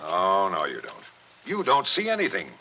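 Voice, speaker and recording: An actor playing a blunt, impatient police captain in a 1940s radio mystery. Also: male voice, sits low, huge pitch swings, pushed and bright, a little hiss or hum, heavily acted. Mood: tough. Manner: blunt, pushy, brisk. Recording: dull old radio broadcast.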